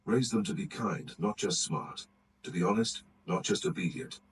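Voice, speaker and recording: A man reading short aphorisms aloud in a measured, even narration. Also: sits low. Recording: distant mic with faint background noise.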